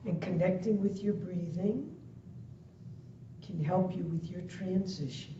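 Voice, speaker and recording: A woman meditation instructor leading a guided practice in soothing, deliberate phrases with long pauses between them. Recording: muffled, echoey, distant web-call audio, faint rumble.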